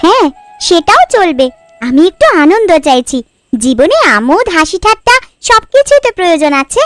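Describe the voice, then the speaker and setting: A woman voicing a caring, practical village housewife in a cartoon, homely and gently fussing. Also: very high-pitched, big pitch swings, moderate pace, no echo, faint music behind. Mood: content. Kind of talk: cartoon story dialogue.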